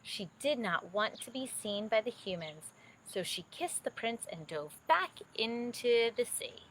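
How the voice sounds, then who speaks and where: A woman narrating a children's bedtime story expressively, with dramatic, drawn-out emphasis. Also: fairly high pitch, lively intonation, fairly bright tone, slight background noise, poor audio.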